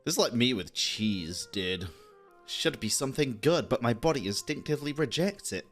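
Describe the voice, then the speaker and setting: A man's voice: mid-range voice, expressive, moderate pace, a bit bright. A young man doing casual, joking game stream commentary, slangy and sweary. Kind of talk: casual live reaction commentary. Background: game music.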